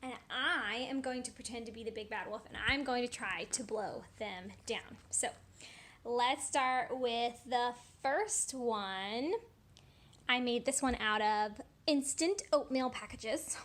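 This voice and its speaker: A woman children's teacher with an expressive style, reading a storybook and addressing children. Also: a little high, slow pace. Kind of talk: animated storybook read-aloud for children.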